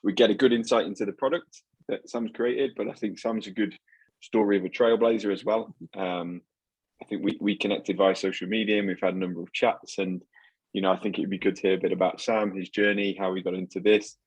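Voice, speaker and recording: Male co-host, deep and husky, quick, earnest, dry sound. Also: fairly even intonation, no room sound, poor audio. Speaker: meetup co-host. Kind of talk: casual introduction of a guest.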